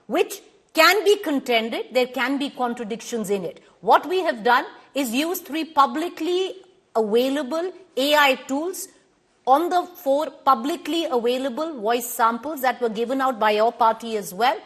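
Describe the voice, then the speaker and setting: A firm Indian English woman anchoring a televised panel, her voice a little higher than most. She puts questions in a measured, controlled way and holds her ground when challenged. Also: a bit bright, breathy edge. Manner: firm, measured, corrects guests. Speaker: news anchor. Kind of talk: moderating a TV debate.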